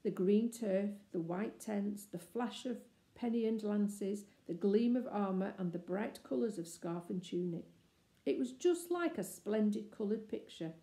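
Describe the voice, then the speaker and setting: Mellow woman storyteller in an echoey room. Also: mid-range voice. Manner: expressive, measured storytelling. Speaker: storybook reader. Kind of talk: scripted children's story narration.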